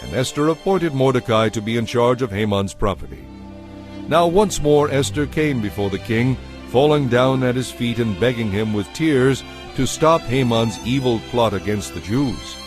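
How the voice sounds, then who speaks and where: A man narrating a dramatized audio Bible in a measured, formal storytelling manner. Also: mid-range voice, animated, over music.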